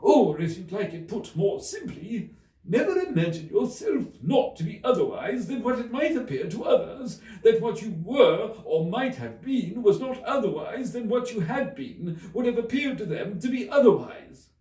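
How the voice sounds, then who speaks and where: A high, wildly swooping old Duchess, acted by a man, gushes her morals with overbearing fondness. Every pronouncement is stretched out and sung like grand storybook theatre, in an echoey room. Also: very animated, dark tone. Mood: grandly moralizing. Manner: sing-song, drawn-out, emphatic pronouncements. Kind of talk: theatrical storybook character reading. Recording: roomy, echoey, distant from the mic.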